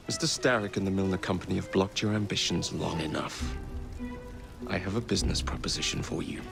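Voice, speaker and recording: A cocky gravelly Victorian gang leader. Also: male voice, low voice, fairly quick, music in the background. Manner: wry, confident and cheeky.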